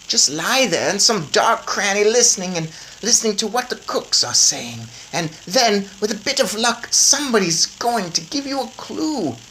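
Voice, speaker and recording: A woman's voice: mid-range voice, fairly bright tone, slight background noise, pitched above the performer's own voice, poor audio. A woman voicing a doting, practical old grandmother in a storybook, emphatic and instructive. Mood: cautioning.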